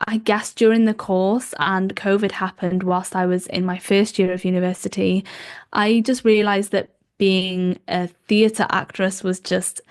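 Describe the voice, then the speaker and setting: A woman's voice: mid-range voice, flat delivery, unhurried. Welsh woman in her 20s, welsh is her first language, chatty and easygoing, lots of ums and likes. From Wales. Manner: chatty, warm laughs, frequent ums and likes. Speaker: podcast guest, journalist. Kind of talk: casual interview chat.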